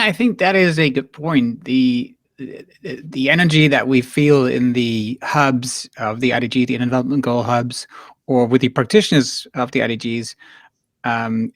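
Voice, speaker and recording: A man's voice: mid-range voice, measured pace, dry recording. A man hosting a podcast interview, talking conversationally with hesitations and filler words. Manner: conversational, hesitant with fillers, animated when quoting others. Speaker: podcast host.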